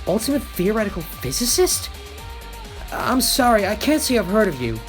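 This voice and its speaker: An earnest, protective teenage boy hero acted out by an amateur for anime game voice lines. The delivery is emphatic and dramatic, with pitch that moves around a lot. Faint music plays underneath. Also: big pitch swings, normal speed, lightly acted. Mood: modest, conversational.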